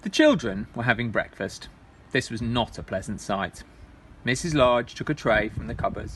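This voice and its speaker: A man reading a children's storybook aloud as a storytime reader, in an expressive way. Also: medium pitch, normal speed, a little hiss or hum.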